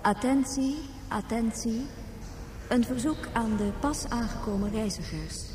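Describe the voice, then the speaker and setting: A woman plays a formal spaceport announcer in a radio drama. She speaks slowly and politely, fairly high, in a long echo. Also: a little mellow, slight background noise, natural voice. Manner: measured, formal. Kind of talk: public address announcement in a radio play. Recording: echoing hall, music behind.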